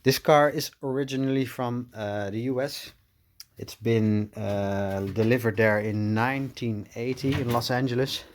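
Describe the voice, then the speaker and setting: A middle-aged man with a Dutch accent, talking casually in English in a workshop beside his car. He pauses often with uhs and apologizes along the way. His voice sits at an ordinary middle pitch. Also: steady tone. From Netherlands. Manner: hesitant, many uhs, apologetic. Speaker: car restoration vlogger. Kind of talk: casual walkaround vlog.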